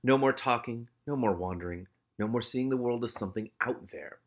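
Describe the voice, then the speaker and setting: A man's voice: medium pitch, fairly quick. A man hosting a read-aloud of a novel, reading dramatically and breaking off into casual, exclamatory, sweary commentary. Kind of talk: expressive audiobook-style reading with casual reactive commentary.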